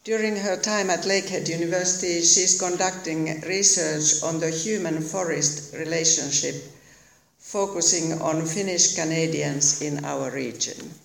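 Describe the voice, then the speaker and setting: A man's voice: a little high, steady tone, a little slow, creaky, a bit bright. A man giving a formal, scripted introduction as the host of a talk. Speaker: host introducing a guest speaker. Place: echoey indoor room. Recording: echoey room.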